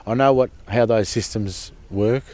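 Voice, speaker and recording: Deep-voiced earnest man. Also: a little slow, a little mellow. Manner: earnest, repeats and restarts words. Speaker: protester at a sacred site camp. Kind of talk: impassioned personal testimony.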